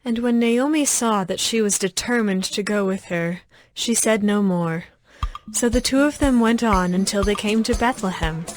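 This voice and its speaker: A woman narrating scripture as a scripted audio story, with measured, clear phrasing. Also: mid-range voice, no room sound, rough recording. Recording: background music under the voice.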